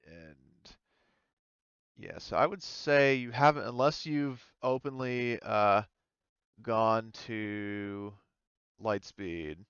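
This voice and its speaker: A man talking casually as a tabletop game master, halting as he thinks aloud through the rules. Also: lowish voice, a bit bright, clean tone, no echo, muffled recording.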